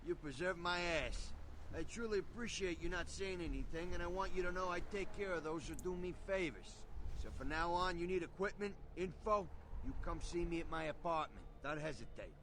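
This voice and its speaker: A streetwise arms dealer from a video game, cocky and slangy in a tough-guy way. His voice sits a little high, with clear noise behind it. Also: a little fast. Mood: grateful, earnest.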